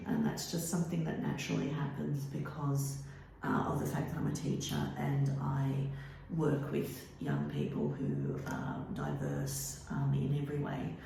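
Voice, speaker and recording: An Australian woman, an author and teacher, answering interview questions thoughtfully, with many pauses and ums. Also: sits low, vocal fry, a little mellow, a little hiss or hum. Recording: echoey room.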